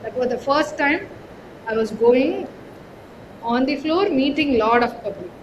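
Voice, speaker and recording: A woman's voice: lively intonation, normal speed, muffled recording. A woman with a Tamil Indian accent, telling her experiences conversationally in an interview, with emphatic phrasing and frequent verbal tags. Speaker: HR professional, interview guest. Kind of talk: conversational interview, code-switching storytelling. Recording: clearly noisy with low rumble.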